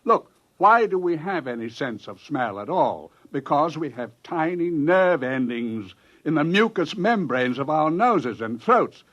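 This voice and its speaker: An actor playing a male research scientist who explains things in a brisk, matter-of-fact way, in 1950s radio drama style. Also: a little high, sing-song, fairly bright tone, full character voice. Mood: explaining. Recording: dull 1950s radio broadcast.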